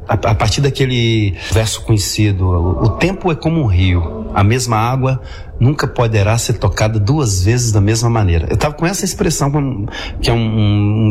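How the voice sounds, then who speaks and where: Rambling Brazilian storyteller with faint background noise. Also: male voice, medium pitch, a little mellow. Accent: Brazilian, Minas Gerais. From Minas Gerais, Brazil. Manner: rambling, colloquial storytelling, often repeating words. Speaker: singer-songwriter being interviewed. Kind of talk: casual anecdotal interview talk.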